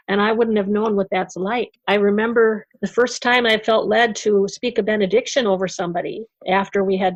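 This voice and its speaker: A woman's voice: mid-range voice, dry recording, highs cut off. Earnest woman sharing her testimony. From United States. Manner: earnest, reflective, laughs lightly. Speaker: interview guest, ministry founder. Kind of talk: conversational testimony.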